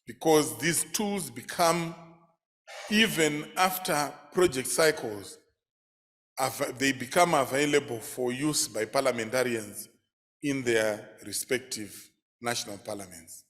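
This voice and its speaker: A man's voice: mid-range voice, unhurried, a bit bright. A man giving a formal, earnest workshop presentation in a conference hall. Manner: earnest, hands over to speakers.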